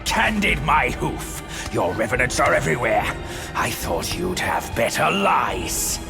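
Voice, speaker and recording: An actor playing a brash, mocking shapeshifting beast warrior in a video game, gravelly, boisterous and taunting. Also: male voice, mid-range voice, sing-song, a little slow. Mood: taunting, fierce. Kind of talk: dramatic video game cutscene acting. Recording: voice under prominent music.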